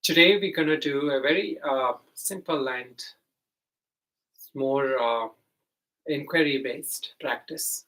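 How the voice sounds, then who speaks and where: Man guiding a meditation, mid-pitched voice. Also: a bit bright, rough recording. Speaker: meditation teacher. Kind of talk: guided meditation instruction.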